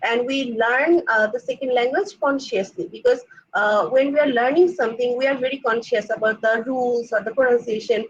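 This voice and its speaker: Young woman presenting, husky and muffled. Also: a little high, fairly quick. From Bangladesh. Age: young adult. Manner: explanatory, frequent "like" and "uh" fillers. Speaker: graduate student presenter. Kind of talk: academic seminar presentation. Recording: dull lo-fi sound.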